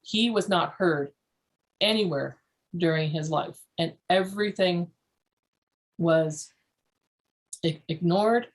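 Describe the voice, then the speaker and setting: A woman's voice: on the low side, slow pace, pauses often. A woman hosting a parenting podcast as a family therapist, leading a group discussion and repeating phrases for emphasis.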